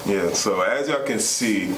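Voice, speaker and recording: A man's voice: lowish voice, unhurried. A young man talks earnestly and casually to viewers as a vlog co-host, repeating himself at times. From United States. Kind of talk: casual sincere talk to viewers. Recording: echoey room with clear background noise.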